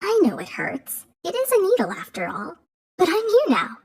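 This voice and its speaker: A woman's voice: very high voice, huge pitch swings, moderate pace, vocal fry, darkish tone, pitched above the performer's own voice, exaggerated voice. A woman voicing a tiny, cheerful magical girl with a sweet, small voice who talks frankly and kindly. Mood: reassuring. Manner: chirpy and reassuring.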